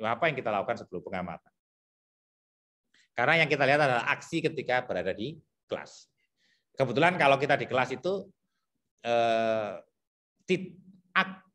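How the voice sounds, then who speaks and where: A man with a thick Javanese accent explaining teacher competency criteria to colleagues, repeating himself and filling pauses as he thinks aloud. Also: medium pitch, sharp tone. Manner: repetitive, thinks aloud with many fillers.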